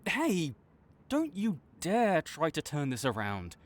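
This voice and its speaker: A man playing a proud supervillain in a dramatic audio roleplay, grudging and exasperated, with a secret soft spot that makes him flustered. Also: fairly high pitch, wildly swooping pitch, slow pace, exaggerated voice. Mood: defensive. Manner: tsundere, grudging, exasperated, stammering when sincere.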